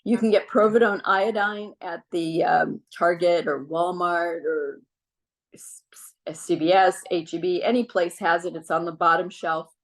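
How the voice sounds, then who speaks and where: A middle-aged woman giving casual health advice on a video call, relaxed and chatty with frequent ums. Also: lowish voice, unhurried. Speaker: wellness speaker.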